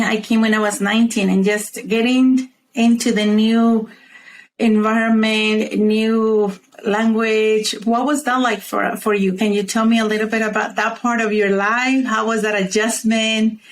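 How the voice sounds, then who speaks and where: A woman's voice: fairly high pitch, fairly even intonation, normal speed, slight background noise, poor audio. A woman hosting a podcast interview, encouraging her guest and asking long, rambling questions. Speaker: podcast host. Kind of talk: casual interview talk.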